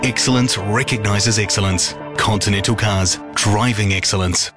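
A man's voice: fairly low pitch, steady tone, moderate pace, over music. A man reading a radio commercial in a polished, upmarket way. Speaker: ad voice-over. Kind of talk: scripted commercial read.

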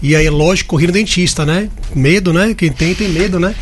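Man, talk show host, chatty, higher pitch, faint music behind. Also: fairly quick. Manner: chatty, anecdotal, casual. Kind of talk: hosting radio health talk.

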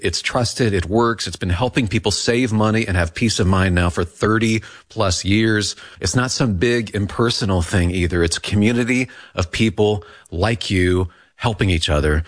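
Earnest lower-voiced man reading an ad. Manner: earnest, persuasive. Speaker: ad spokesman. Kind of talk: read radio ad.

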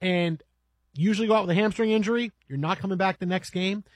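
A man hosting a sports talk radio show, breaking down basketball in a rambling, animated way from a studio mic. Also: high voice, fast talker, dry recording. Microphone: studio microphone.

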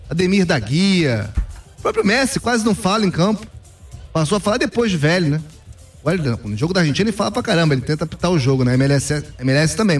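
A man's voice: high voice, breathy edge. A man talking football as a pundit in casual sports talk, opinionated and emphatic. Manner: opinionated, emphatic, repeats "cara".

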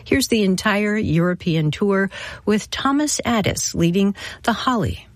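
Woman hosting classical radio, measured delivery. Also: mid-range voice, animated, no echo, studio quality. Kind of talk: scripted radio narration.

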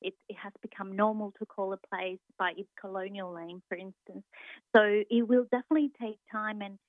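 A woman comes through a tinny, phone-like line, dry with no room sound. She speaks as a university lecturer, thoughtful and unhurried, pausing often to search for the right words, at a middling pitch. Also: steady tone, dry recording. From Argentina. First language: Spanish. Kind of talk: interview answers.

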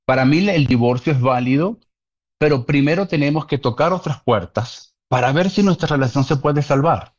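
A man's voice: on the high side, lively intonation, moderate pace, lo-fi sound. A man talking earnestly about relationships in a casual conversation, repeating key points for emphasis.

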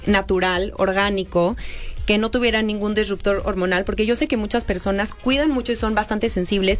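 A young woman born in San Diego and raised Mexican, a perfume creator answering interview questions earnestly, with many pauses and fillers. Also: medium pitch. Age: young adult.

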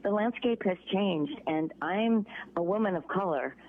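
A middle-aged woman with a Chicago accent, chatting as an interview guest, halting and restarting often. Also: mid-range voice. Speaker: voice actress guest. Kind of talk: casual interview by phone. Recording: tinny phone line.

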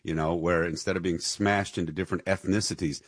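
A man's voice: low voice, fairly even intonation, fairly quick, slightly rough, no echo, studio quality. A male host in an interview conversation, interjecting in a chatty way and often saying "you know".

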